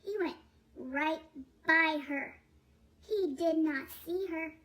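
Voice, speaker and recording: A woman reading a children's picture book aloud in a playful, expressive way, drawing out words. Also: extremely high pitch, slow pace. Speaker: storybook reader.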